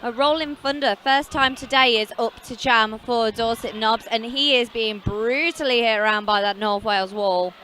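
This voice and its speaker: Bright-voiced woman calling roller derby. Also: on the high side, a little hiss or hum. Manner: brisk play-by-play calls, dry humour. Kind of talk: casual live sports commentary.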